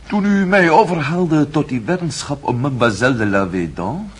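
A man's voice: on the high side, animated, moderate pace, slightly rough. An actor playing a mocking, self-assured nobleman in a period radio drama, speaking with ornate, icy politeness. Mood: accusing, controlled.

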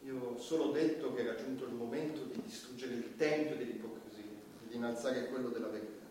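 An actor playing a defiant, impassioned satirical comedian on trial, emphatic and repetitive in a theatrical stage style. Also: male voice, medium pitch, fairly even intonation, brisk, darkish tone, lightly acted. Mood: defensive, insistent. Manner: emphatic, repetitive, preaching against hypocrisy and power. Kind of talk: theatrical stage acting, fiery monologue. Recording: echoey distant stage recording, faint noise.

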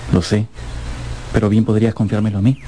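An actor playing an adoring young duke, a tender and coaxing newlywed, in a radio drama. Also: male voice, lowish voice, little pitch movement, a little fast, mellow, a little hiss or hum, natural voice.

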